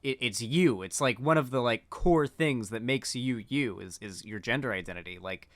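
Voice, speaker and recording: A man's voice: mid-range voice, normal speed, fairly bright tone, dry recording. Rambling male commentator, dry close sound. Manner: rambling, many fillers and restarts. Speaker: political commentator. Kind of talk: casual commentary reacting to clips.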